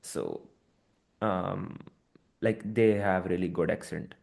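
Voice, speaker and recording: A man's voice: low-pitched, slow, gravelly, darkish tone. A man on a casual business call, sharing advice with a peer in an encouraging way, with frequent fillers.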